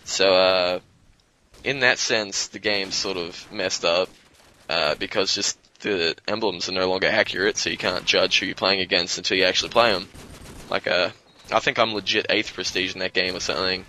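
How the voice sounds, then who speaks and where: Young Australian man, low voice with a forceful bright edge, slightly hoarse, loose rambling gamer talk. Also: steady tone, normal speed, rough recording. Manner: rambling, loose, swears freely. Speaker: guest commentator. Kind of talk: casual gaming commentary.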